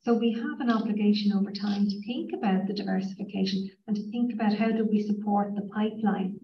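A woman's voice: mid-range voice, fairly even intonation, fast, gravelly. Dublin woman on a panel, says you know a lot, muffled roomy far-off mic. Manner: earnest, many "you know" fillers. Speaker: university panelist. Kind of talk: panel discussion. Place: conference room, indoors. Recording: echoey room mic from far off, dull sound.

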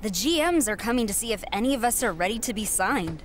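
A woman's voice: on the high side, expressive, fast, close to an everyday voice. Confident young female wrestler, faint room hum, voiced by an actress. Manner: confident, matter-of-fact.

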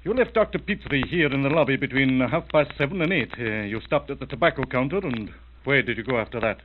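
A man's voice: mid-range voice, expressive, quick pace, natural voice. Man playing a grave questioner, tinny old radio. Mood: questioning. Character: grave, courteous male questioner breaking bad news. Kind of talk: 1940s radio drama acting. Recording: narrow, tinny, hissy old radio recording.

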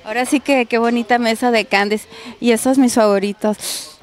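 A woman's voice: fairly high pitch, fairly even intonation, moderate pace. A woman in her forties from northern Mexico, reporting on location with a handheld mic and gushing with praise. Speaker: reporter host. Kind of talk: on-location food interview. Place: outdoor patio and restaurant interior. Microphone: handheld microphone.

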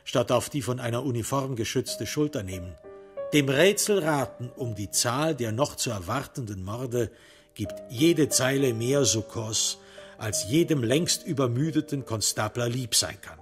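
A man narrates a dark crime radio play at a medium pitch. His delivery is measured and dramatic, with pointed pauses, and music plays behind him. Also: animated.

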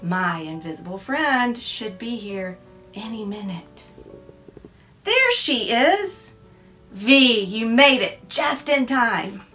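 A stubborn little girl with a taste for spooky things, firm and defiant, read by a woman. She speaks slowly with a bright, lively lilt, and faint music sits behind her. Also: on the high side, exaggerated voice. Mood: content. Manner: firm, matter-of-fact, defiant.

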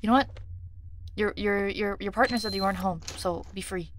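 A woman streaming a game, whose first language is Korean, thinks out loud as she plays. She reads numbers and lists under her breath between chatty asides, with a mid-range voice. A faint hiss sits under her desk mic. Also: medium pitch, brisk. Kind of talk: casual gameplay commentary.

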